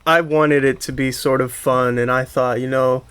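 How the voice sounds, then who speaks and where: A man's voice: medium pitch, little pitch movement, moderate pace, fairly bright tone, clear, resonant tone. A man hosting a podcast, talking casually as he interviews his guest. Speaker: podcast host.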